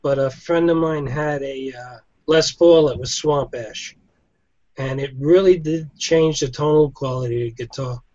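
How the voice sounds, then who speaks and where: Measured man, dry lo-fi sound. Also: medium pitch, darkish tone, no echo. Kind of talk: casual chat.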